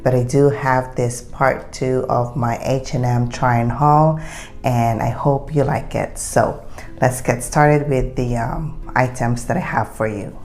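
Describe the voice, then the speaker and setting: A woman vlogger, raspy from a recent illness, chatting through a clothing haul with many ums and reading out product details. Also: rumbling low, fairly even intonation, unhurried, a little mellow. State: raspy after being sick. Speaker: fashion vlogger. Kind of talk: casual try-on haul chat.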